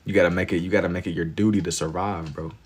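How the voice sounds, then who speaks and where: A man in his twenties hosting a livestream, chatting casually with viewers in laid-back slang and getting loud and laughing when teased. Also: low-pitched, fairly even intonation, fast. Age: 20s. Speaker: livestream host. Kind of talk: casual chat with viewers while drawing.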